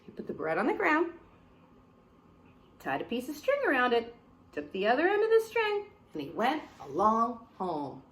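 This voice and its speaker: Lady doing a kids storytime read-aloud, super lively up and down voice, playful asides. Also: a little high, moderate pace. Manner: animated, playful asides to listeners. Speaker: storyteller reading a picture book.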